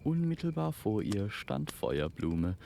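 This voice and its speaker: A man narrating a scripted fantasy story in a measured, lyrical storytelling manner. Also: lowish voice, big pitch swings, creaky, mellow, slight background noise.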